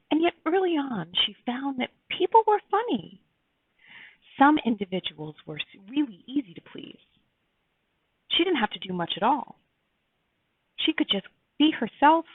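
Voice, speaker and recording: A woman reading her own fairy tale aloud in an earnest, emphatic storybook style with dramatic pauses. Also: a little high, husky. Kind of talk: expressive storybook read-aloud. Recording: tinny phone-like, roomy echo.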